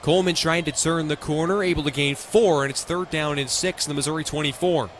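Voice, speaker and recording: A young American man calling live football on the radio as play-by-play announcer, in punchy, clipped, excitable phrases. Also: fairly high pitch, fairly quick. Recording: live stadium ambience noise.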